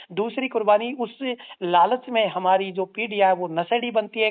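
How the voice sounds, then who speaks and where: An activist giving a formal, stern, articulate admonishment over the phone. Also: medium pitch. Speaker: anti-drug social organisation activist. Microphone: phone line. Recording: tinny narrowband phone-call recording.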